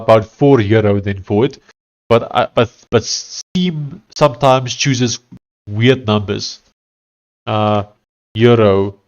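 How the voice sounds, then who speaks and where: Hesitant man with a thick Afrikaans accent. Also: mid-range voice. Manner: thinking aloud, hesitant with many fillers and restarts. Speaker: live-streaming software developer. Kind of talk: casual live stream chat while coding.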